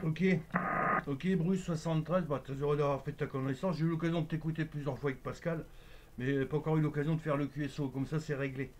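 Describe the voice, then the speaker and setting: Fast-talking man on amateur radio. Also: fairly high pitch, steady tone, noisy background. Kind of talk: casual radio contact chat with procedural jargon.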